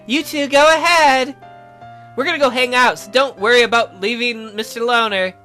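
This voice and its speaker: A woman's voice: a little high, fairly even intonation, moderate pace, a bit bright, soft background music, natural voice. A woman voicing an upbeat, teasing young female classmate in a visual novel game, breezy and casual. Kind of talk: visual novel character dialogue.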